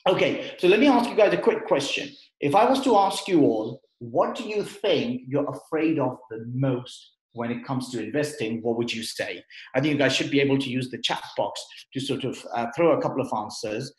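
A man's voice: medium pitch, animated, normal speed. A man giving a conversational webinar talk on investing, explaining with fillers and restarts over a computer mic. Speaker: guest speaker at an investing webinar. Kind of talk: webinar presentation. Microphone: computer mic on a video call. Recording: roomy echo.

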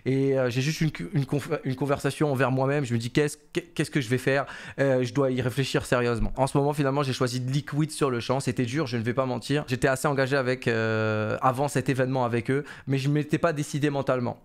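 A man, casually commentating on esports and paraphrasing an interview in an animated, colloquial, repetitive way into a close studio mic. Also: medium pitch, fast talker. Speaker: esports commentator and content creator. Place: small home studio room indoors. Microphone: close boom-arm studio microphone.

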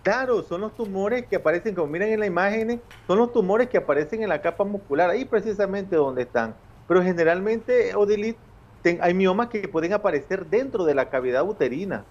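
A man's voice: high-pitched, lively intonation, a little fast, lo-fi sound. A man in his fifties with a Nicaraguan accent, a gynecologist explaining things patiently on a TV show by video call from home, with many eh fillers. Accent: Nicaraguan Spanish. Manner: explanatory, with frequent eh fillers and restarts. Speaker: gynecologist guest. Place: home office with bookshelves.

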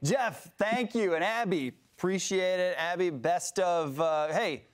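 Anchor: lively, jokey man, high register, studio news banter. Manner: upbeat, jokey, quick banter.

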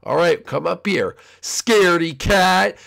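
A man voicing a cocky young turtle villain with a loud, bratty, taunting manner in an amateur comedy skit. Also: mid-range voice, slow, gravelly, pitched above the performer's own voice, full character voice. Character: Bowser Junior, brash cocky young male turtle villain.

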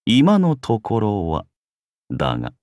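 An actor playing a cocky young genius schemer in a game, with a wry, theatrical manner. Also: male voice, fairly low pitch, wildly swooping pitch, slow pace, darkish tone, no room sound, clean studio sound, full character voice. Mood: composed, wry. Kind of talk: game character acting.

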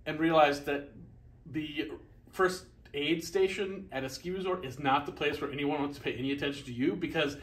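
A man's voice: on the high side, moderate pace, fairly bright tone, poor audio. A middle-aged man with a North American accent chatting and telling stories casually, in a joking, matter-of-fact way, a few feet from the camera in a garage workshop. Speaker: vlogger and workshop tinkerer. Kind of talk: casual storytelling and chat. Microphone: camera a few feet away.

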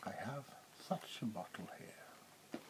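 A man playing an ancient, power-hungry vampire warlord in a soft-spoken ASMR roleplay, with a breathy whisper and a deliberate, menacing delivery. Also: medium pitch, animated, rough recording. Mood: proud, gloating. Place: small wood-panelled room indoors.

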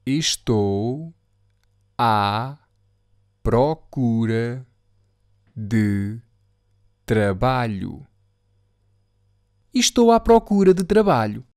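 A speaker with a European Portuguese accent, slowly repeating phrases word by word for learners. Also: mid-range voice, very animated, darkish tone, no echo, clean studio sound. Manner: slow, carefully articulated word-by-word repetition. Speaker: language teacher. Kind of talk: slow repetition drill for learners.

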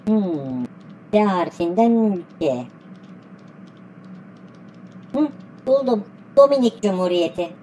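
A woman's voice: mid-range voice, lively intonation, unhurried, warm tone, slight background noise, lightly acted. A woman voicing an eager, polite schoolchild answering a grandparent's quiz in an educational cartoon. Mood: eager, thoughtful.

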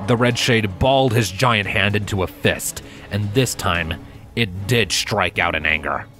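A man's voice: lowish voice, measured pace, pushed and bright. A man reading scripted fantasy fiction as a storybook narrator. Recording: music bed behind narration.

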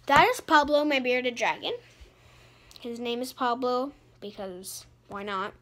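Female child vlogger, high-pitched and unhurried, informal home-tour talk, noisy handheld phone audio. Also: a bit bright. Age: child, about 10 to 12. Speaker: amateur vlogger. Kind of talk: casual home tour vlog chat. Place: inside a house, moving from room to room. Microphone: handheld phone at arm's length.

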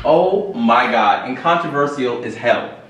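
A man's voice: mid-range voice, animated, a little slow, reverberant, very noisy. A man vlogging, joking and teasing in playful slang as he talks to the camera. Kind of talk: casual chat to camera.

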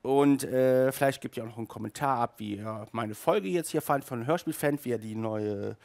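A man in his thirties talks casually as a review host, with a noticeably creaky voice at a middling pitch. His delivery is chatty and halting, broken up by emphatic rhetorical asides. He is recorded close on a headset in a small room. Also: a little mellow. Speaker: host of an audio drama review show. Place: small furnished room indoors.

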